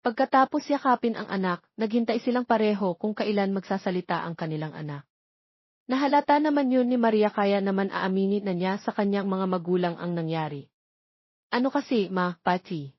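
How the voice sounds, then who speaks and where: A woman's voice: medium pitch, a little fast, no echo, highs cut off. A woman narrating a scripted love story as an audiobook reader.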